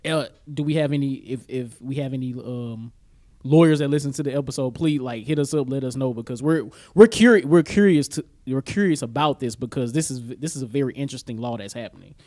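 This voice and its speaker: A man co-hosting a podcast in casual chat, halting and questioning as he thinks aloud. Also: mid-range voice, darkish tone. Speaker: podcast co-host.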